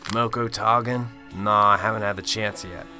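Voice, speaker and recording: A man's voice: fairly low pitch, steady tone, moderate pace, bright, forceful tone, soft background music. A man playing a sarcastic Chicago detective. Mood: dry. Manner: dry, sarcastic. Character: cynical sarcastic male detective. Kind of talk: amateur radio drama.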